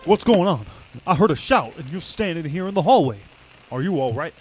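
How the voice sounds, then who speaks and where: A man's voice: a little high, expressive, brisk, faint music behind, natural voice. A man playing a plain-spoken working husband, a reformed crook, in an old-time radio drama. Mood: alarmed.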